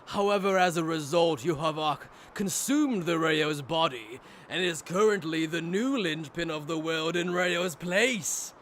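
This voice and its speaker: A man's voice: a little high, expressive, a little slow, few pauses, a bit bright, a little hiss or hum. A man voicing a smug, mocking aristocratic villain in an anime fan dub, with formal, taunting, theatrical delivery.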